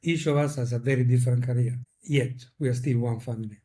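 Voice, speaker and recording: Italian-accented man, mellow and thoughtful. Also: mid-range voice, steady tone. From Italy. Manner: thoughtful, measured, searches for words. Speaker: guest, veterinarian. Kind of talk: reflective interview talk.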